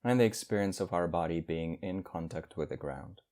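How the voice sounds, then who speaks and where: Deep-voiced man guiding a meditation. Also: animated, darkish tone. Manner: measured, instructional, with long pauses between phrases. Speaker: meditation teacher. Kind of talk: guided meditation.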